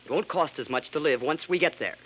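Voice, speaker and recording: An actor playing a testy, defensive young husband who speaks curtly in an old-time radio drama. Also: male voice, on the high side, fast, close to an everyday voice. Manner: curt, defensive. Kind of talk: 1940s-50s radio acting. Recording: tinny, hissy vintage radio recording.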